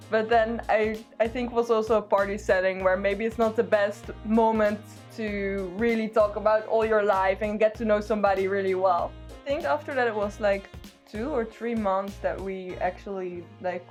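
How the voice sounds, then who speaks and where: A woman's voice: mid-range voice, fairly even intonation, normal speed, soft background music. A young woman from the Netherlands, a student chatting cheerfully in an interview and laughing between phrases. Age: young adult. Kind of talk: casual chat.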